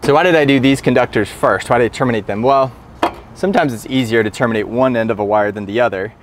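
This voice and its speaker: A man with a mid-pitched, somewhat nasal voice talks shop in a casual, upbeat way. He keeps up a plainspoken running commentary while working. A faint hum of outdoor noise sits behind him. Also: fast talker. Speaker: electrician and instructor. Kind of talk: casual on-the-job explanation.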